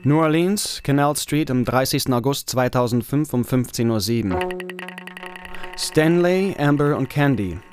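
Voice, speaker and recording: A man's voice: mid-range voice, measured pace, a little mellow, faint music behind. A man narrating a German radio drama, reading scripted scene details.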